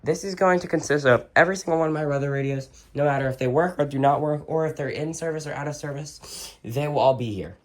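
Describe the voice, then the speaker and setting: A video host giving a casual, unscripted show-and-tell indoors in an informal, halting way. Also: mid-range voice. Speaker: video host and weather radio collector. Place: indoors, in a room with shelves of radios.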